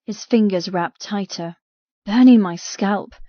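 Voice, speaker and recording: A woman's voice: mid-range voice, measured pace, dry recording, dull audio. A woman narrating a dramatic first-person novel as a frightened captive. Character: frightened captive young woman telling her story. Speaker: audiobook narrator.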